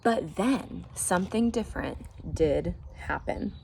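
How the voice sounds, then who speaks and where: A woman's voice: on the low side, expressive, slow pace, vocal fry, a little mellow, slight background noise. A woman reading a picture book aloud as a teacher would, expressively, with casual asides to the listeners.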